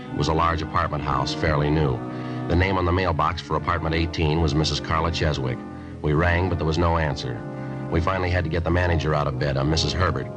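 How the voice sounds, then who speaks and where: An actor playing a stoic, methodical American police detective, narrating a radio crime drama in terse, deadpan, matter-of-fact phrases. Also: male voice, very deep voice.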